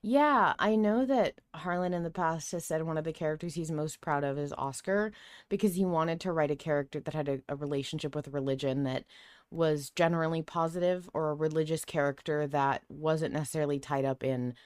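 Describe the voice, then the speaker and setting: A woman with a slightly low voice hosts a casual podcast discussion. Her voice is recorded very dry, with no room sound around it. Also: brisk, clear, resonant tone, no echo. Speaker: podcast host.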